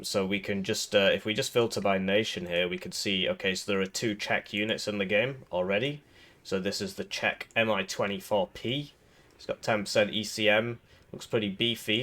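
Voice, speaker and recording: A man's voice: lowish voice, fairly bright tone. A man with a cold, sounding a little throaty, giving casual rambling commentary over a strategy game with lots of fillers and restarts. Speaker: gaming video creator.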